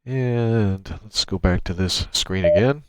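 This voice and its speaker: A man presenting an informal software tutorial, explaining things step by step into a USB microphone. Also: fairly low pitch, slow pace, clean tone. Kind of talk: informal software screencast walkthrough. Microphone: USB microphone through a noise gate.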